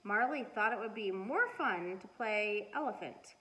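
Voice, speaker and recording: A middle-aged woman reading a children's storybook aloud with expressive, emphatic storytelling. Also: medium pitch, a little slow. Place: living room, indoors. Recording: noisy living room recording.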